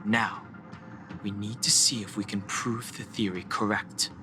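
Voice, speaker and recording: An actor playing a level-headed, studious young man in a video game, explaining things in a measured, analytical way. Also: lowish voice, steady tone, music in the background, lightly acted. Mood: focused. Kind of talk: scripted game dialogue acting.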